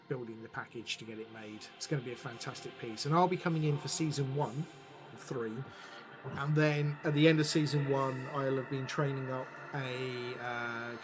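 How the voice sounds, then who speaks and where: A man's voice: medium pitch, low-quality recording. A middle-aged man talking as a podcast guest about his work in a chatty, playful and enthusiastic way. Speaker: guest, audio drama producer and director.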